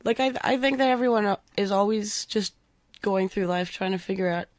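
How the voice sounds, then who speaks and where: A young woman from Canada, a stand-up comedian, chatting casually as a guest with a slightly hoarse voice and frequent laughs. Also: mid-range voice, a little fast, no room sound. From Vancouver, Canada. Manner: chatty, laughs often between thoughts. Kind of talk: casual interview chat.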